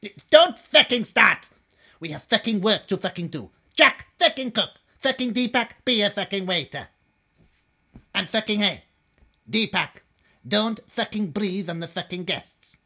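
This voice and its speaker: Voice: medium pitch, animated, normal speed, sharp tone, breathy edge. An actor playing a harried restaurant boss in an adult comedy sketch, breaking up every phrase with emphatic swearing. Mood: bossy, harried.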